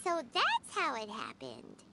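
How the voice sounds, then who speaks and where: A tiny, childlike game fairy, squeaky and extremely high. The delivery is naive and excitable, with huge swoops in pitch at an unhurried pace. Loud noise sits behind it. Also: female voice, gravelly, exaggerated voice. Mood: curious, surprised. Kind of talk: video game voice acting.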